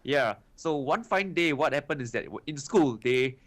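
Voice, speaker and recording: A man's voice: a little high, expressive, normal speed, a bit bright. Young Singaporean man, chatty. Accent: Singaporean English. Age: 20s. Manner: chatty, frequent "you know" and "right" tags. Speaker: trading educator, guest. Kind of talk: casual interview answers.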